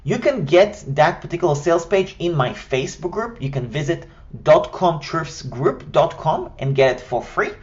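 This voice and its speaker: A man's voice: on the high side, big pitch swings, moderate pace, few pauses, highs cut off. Israeli-accented man teaching step by step. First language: Hebrew. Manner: structured, step-by-step, direct. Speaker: online course coach. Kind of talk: scripted tutorial.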